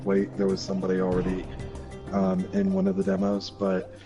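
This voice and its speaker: A man co-hosting a gaming livestream over voice chat, talking casually and haltingly while he plays. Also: low-pitched, monotone, lo-fi sound. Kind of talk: casual chat while playing a video game. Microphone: online voice chat. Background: loud game music.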